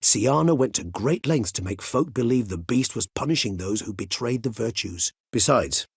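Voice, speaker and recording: A man's voice: mid-range voice, lively intonation, breathy edge, no echo, clean studio sound. An actor voicing a cultured older male vampire in a video game, speaking formally and precisely. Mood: measured, explaining. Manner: erudite, formal, measured, wordy phrasing. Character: cultured, mild-mannered older male vampire, learned and courteous. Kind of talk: theatrical video game dialogue.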